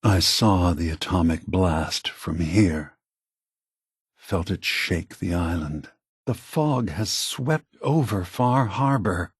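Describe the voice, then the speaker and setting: A man playing a weary, grave synth leader in a video game, speaking deliberately and solemnly with a husky voice. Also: deep voice, no echo, clean studio sound, full character voice. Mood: grieving accusation. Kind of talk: scripted video game voice acting.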